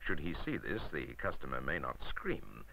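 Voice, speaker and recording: Male, British, very low pitch, bright forceful tone, wry and emphatic, scripted training-film narration, 1960s soundtrack. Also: very animated, moderate pace, gravelly, a little hiss or hum, low-quality recording.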